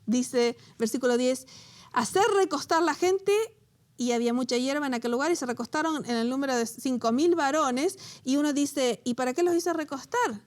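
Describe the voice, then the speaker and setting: A high-pitched woman teaching from the Bible in relaxed conversation. She talks with a lot of feeling, laughs easily, and turns tender when she recalls her own story. She grew up in Latin America. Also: normal speed. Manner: animated, laughs often, emotional when recalling personal story. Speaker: co-host of a Bible study show.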